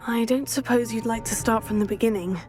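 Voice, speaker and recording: An earnest young student witch speaks calmly and reassuringly, as a woman voices her in a video game. Her voice sits fairly high, with soft music beneath. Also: brisk, close to an everyday voice.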